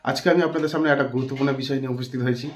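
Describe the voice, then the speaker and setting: A man's voice: mid-range voice, steady tone, very fast, breathy edge. A man presenting a step-by-step phone app tutorial in an instructional, repetitive way. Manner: instructional, repeats points and phrases. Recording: music bed behind the voice.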